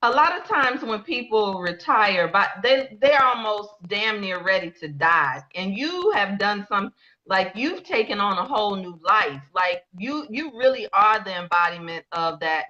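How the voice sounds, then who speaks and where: A woman's voice: mid-range voice, lively intonation, unhurried, bright, forceful tone, muffled recording. A woman hosting an interview, who keeps affirming and encouraging her guest. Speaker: interview host. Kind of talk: casual interview.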